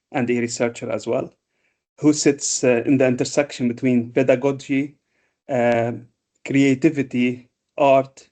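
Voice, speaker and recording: A man hosts an education interview, introducing his guest and asking questions. He speaks in a middle pitch at a measured pace, with frequent fillers and small restarts as he frames each question. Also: a little mellow. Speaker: host. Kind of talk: interview hosting.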